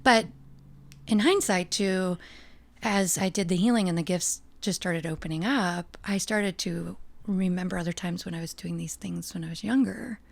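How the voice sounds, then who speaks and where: A woman's voice: lowish voice, normal speed, vocal fry. A middle-aged American woman talking as a podcast guest, reflective and earnest, laughing easily. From United States. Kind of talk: casual interview talk.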